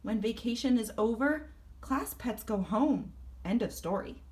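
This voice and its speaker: A woman's voice: a little high, lively intonation, unhurried, a little mellow, voice pushed up. A woman voicing a bossy, matter-of-fact female class pet in a storybook, emphatic and know-it-all. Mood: scornful.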